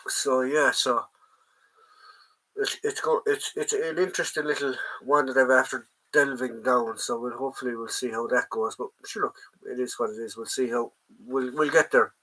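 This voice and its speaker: A man's voice: medium pitch, fairly even intonation, normal speed, sharp tone, poor audio. An Irish man with a stuffy nose from a head cold, hosting a casual live chat from home, rambling with plenty of ums and greeting viewers by name. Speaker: livestream host, collector.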